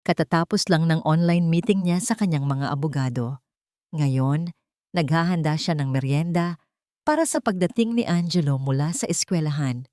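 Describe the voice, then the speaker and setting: Low-pitched woman narrating a dramatic story. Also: moderate pace, a little mellow, no room sound, studio quality.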